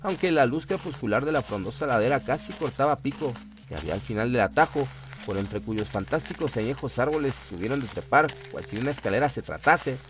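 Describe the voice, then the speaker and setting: A man's voice: mid-range voice, expressive, fairly quick, hardly any pauses, noisy background. A man reading scripted horror narration in a dramatic storytelling style.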